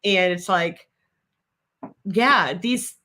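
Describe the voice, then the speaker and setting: A woman's voice: fairly low pitch, bright, forceful tone. A middle-aged woman with a Minnesotan accent, a guest expert explaining confidently on a video call. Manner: confident, explanatory, quick laughs. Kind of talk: casual video call chat. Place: office room, indoors. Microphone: video call webcam.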